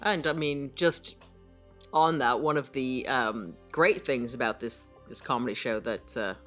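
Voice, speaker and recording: A woman's voice: rumbling low, unhurried, a bit bright, faint music behind. A woman who acts in audio drama, chatting casually as co-host of a behind-the-scenes podcast.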